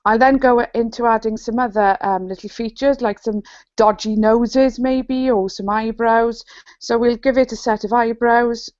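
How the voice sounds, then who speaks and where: Welsh woman, bubbly app demo, higher voice, dry muffled call sound. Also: steady tone, normal speed, darkish tone, dry recording. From South Wales. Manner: bubbly and enthusiastic. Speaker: author and trainer. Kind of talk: enthusiastic tech demo. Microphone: video call. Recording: dull lo-fi video call audio.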